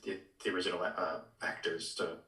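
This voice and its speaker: Deep-voiced man in an echoey room. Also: level tone, bright, forceful tone, recorded from a distance. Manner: halting, repeats words as he thinks. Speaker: podcast co-host. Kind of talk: casual chat, pitching ideas. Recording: roomy, echoey, away from the mic.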